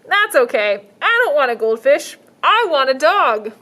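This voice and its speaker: A woman's voice: high-pitched, big pitch swings, unhurried, pushed and bright, voice pushed up, heavily acted. Woman doing a stubborn little girl. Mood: stubborn insistent. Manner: firm, insistent, childlike. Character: stubborn little girl who wants a dog.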